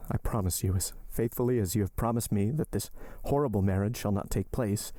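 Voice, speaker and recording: A sorrowful but resolute young lover who speaks earnestly and solemnly, in a deep, dark, mellow voice, as an audiobook reader voices him. The sound is close and dry. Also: male voice, fairly quick, no echo, close to an everyday voice. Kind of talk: audiobook character voice.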